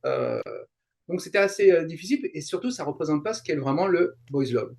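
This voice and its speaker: Higher-pitched middle-aged man, halting casual explainer. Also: huge pitch swings, darkish tone. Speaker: guest, YouTuber and translator. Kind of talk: casual interview chat, explaining.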